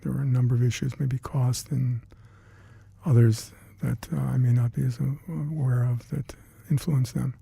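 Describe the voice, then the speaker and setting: An older man with Hungarian as his first language, recalling past work in an oral history interview, halting and reflective with many fillers and restarts. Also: mid-range voice, flat delivery, vocal fry, warm tone, breathy edge, no room sound. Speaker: interviewee, retired scientist.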